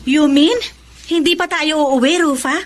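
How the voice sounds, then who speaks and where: An actress playing a chatty, inquisitive young best friend in a Filipino radio drama. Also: female voice, high-pitched, fairly even intonation, unhurried, over music. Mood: surprised, teasing.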